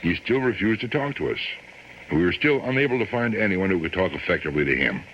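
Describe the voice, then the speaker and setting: A man's voice: low voice, lively intonation, fast. An actor playing a blunt, pressing male police lieutenant in a radio drama. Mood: grim, reporting. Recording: dull lo-fi radio broadcast, background noise.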